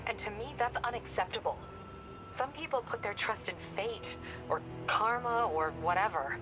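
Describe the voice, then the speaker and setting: A woman's voice: a little high, expressive, moderate pace, natural voice. An actress playing a stern, stubborn female ex-police captain turned vigilante who speaks in a cool, controlled, determined way in a video game cutscene. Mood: cold. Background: game score music.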